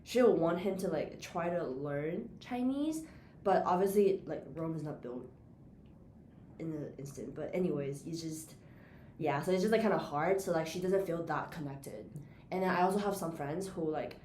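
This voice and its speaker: A young woman with a slightly low, mellow voice, telling a relaxed story with plenty of fillers. The room is echoey, with faint noise behind. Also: normal speed. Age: young adult. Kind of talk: casual discussion.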